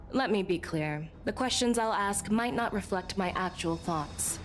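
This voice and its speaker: A woman playing a poised, courteous but probing military general in a video game. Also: on the low side. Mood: measured, frank. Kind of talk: scripted video game dialogue. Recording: game audio with music bed. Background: background music.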